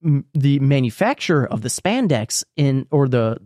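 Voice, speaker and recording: A man's voice: mid-range voice, big pitch swings, moderate pace, darkish tone, no room sound, studio quality. A man co-hosting a podcast, giving opinions in casual chat, emphatic, repeating himself and swearing casually. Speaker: podcast co-host.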